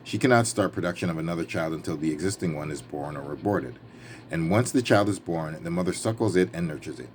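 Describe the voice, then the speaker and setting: Man, very low voice, book reading, emphatic, playful, casual wry asides, noisy ambient recording. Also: fast talker. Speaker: reader and commentator. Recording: clearly noisy background ambience.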